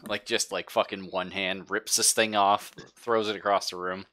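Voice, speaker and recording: Deep, bright-voiced male game master. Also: little pitch movement, normal speed. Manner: casual, sweary, jokey. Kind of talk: casual tabletop game narration.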